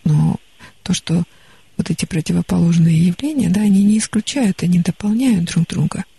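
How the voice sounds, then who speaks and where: A woman psychologist counselling a caller on a studio radio call-in show, calm and gently advising. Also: fairly low pitch, normal speed, gravelly, husky, no room sound.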